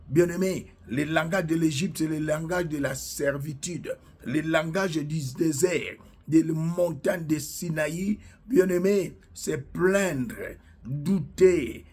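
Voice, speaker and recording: Preacher guy, slow and drawn out, kinda husky, keeps saying alleluia and declaring stuff. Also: fairly high pitch. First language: Lingala. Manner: fervent, punctuates lines with alleluia. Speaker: preacher and self-styled prophet. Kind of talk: prophetic sermon with declarations.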